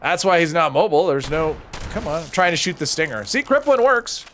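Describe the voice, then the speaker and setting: A man's voice: a little high, lively intonation, brisk, a bit bright, slight background noise. A man streaming a video game, chatting casually and answering his viewers' questions as he plays. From United States. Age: older than high school, adult. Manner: casual, chatty, reads and answers chat while playing.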